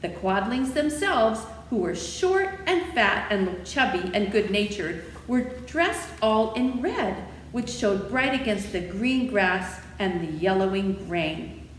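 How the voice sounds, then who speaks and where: A woman reading a children's story aloud with expressive, animated storytelling. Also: medium pitch, takes it slowly. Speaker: library storytime reader. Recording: echoey room with faint noise.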